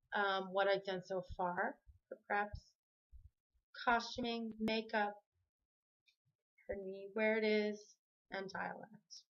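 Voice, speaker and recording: A woman's voice: medium pitch, muffled recording. A woman from Canada talking casually to camera at home, rambling and often correcting herself. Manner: rambling, self-correcting, chatty. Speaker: vlogger. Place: home room with the TV on.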